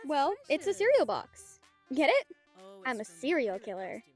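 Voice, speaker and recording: A woman's voice: sits high, very animated, a little slow, soft background music. A woman playing a mischievous young partygoer with morbid humour in an audio drama. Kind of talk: naturalistic audio drama acting.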